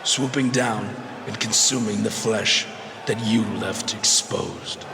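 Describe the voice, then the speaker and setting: An actor playing a sinister, predatory wrestling cult leader who speaks in a calm, ominous, theatrical way in a video game promo. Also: male voice, takes it slowly, vocal fry. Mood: menacing taunting. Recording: booming arena hall with crowd noise.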